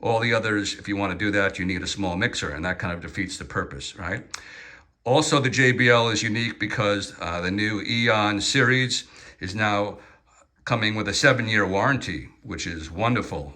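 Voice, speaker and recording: A man's voice: fairly low pitch, moderate pace, bright, forceful tone. A man giving an unscripted, informal and opinionated product review, explaining features hands-on as he goes. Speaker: product reviewer presenting portable PA speakers.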